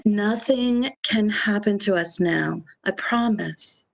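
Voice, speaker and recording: A woman playing a calm, reassuring phantom lover, speaking soothingly and measuredly in an amateur radio drama. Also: medium pitch, vocal fry, poor audio. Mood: tender, assured. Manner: soothing, measured, brief answers.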